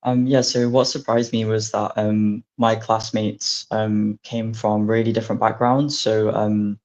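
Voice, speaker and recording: A man talking as a translation master's student on a panel, soft-spoken and pausing on lots of ums. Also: lowish voice, flat delivery. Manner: soft-spoken and filler-heavy. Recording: dull lo-fi audio.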